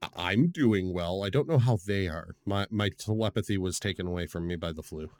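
A man's voice: deep voice, a little fast, a little mellow, no echo, studio quality. A man co-hosting a casual gaming podcast, rambling and repeating words as he starts sentences, still getting over the flu. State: recovering from flu, voice still rough. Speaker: podcast co-host. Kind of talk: casual chat.

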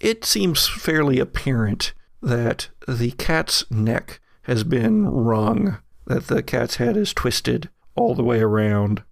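A man narrating as game master of a gothic horror tabletop game, deliberate and storyteller-like, with pauses. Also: medium pitch, big pitch swings, creaky, no echo, studio quality.

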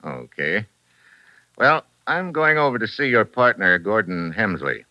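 Cool American newsman hero, sharp forceful tone, very animated pitch, laconic vintage radio-thriller delivery. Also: measured pace, no room sound, muffled recording, exaggerated voice. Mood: cool, probing. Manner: wry, laconic, unflappable. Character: wry, cool, tough American male foreign correspondent. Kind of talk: 1950s radio thriller acting.

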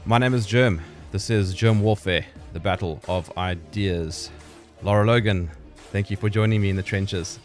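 A man's voice: fairly low pitch, moderate pace, soft background music. A man with a South African accent, speaking as the host of a conversational interview show.

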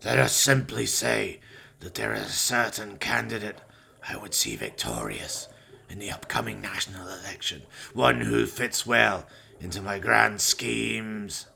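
A man's voice: on the low side, vocal fry, sharp tone, heavily acted. A man voicing a menacing, contemptuous evil master in a comic horror radio play, with haughty, pompous delivery. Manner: slow, haughty, pompous threats.

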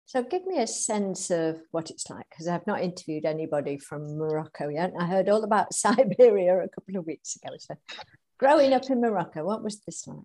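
Woman podcast host, lowish voice, warm encouraging questions, keeps the guest going. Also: lively intonation, a little fast. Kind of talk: interview questions.

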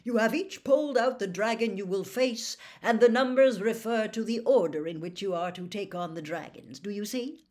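A woman voicing a jovial middle-aged male official in an audiobook, chummy and eager, with a booming, hearty manner. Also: normal speed, few pauses, a little mellow, close to an everyday voice. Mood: hearty, bustling. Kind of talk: audiobook character acting.

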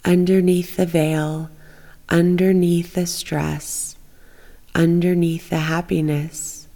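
A woman leading a guided bedtime meditation, speaking in a soft, soothing, unhurried manner with long pauses. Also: on the low side, little pitch movement. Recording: long reverberant echo.

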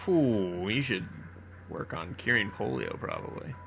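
A man's voice: on the low side, lively intonation, a little slow, vocal fry. A man co-hosting a casual comic riffing commentary, with a sarcastic, crude joking manner.

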